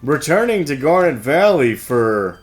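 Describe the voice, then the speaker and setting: A man's voice: fairly high pitch, big pitch swings, a little slow. A man co-hosting an informal store news segment, reading announcements and bantering casually. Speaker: co-host. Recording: music bed under the voice. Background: music.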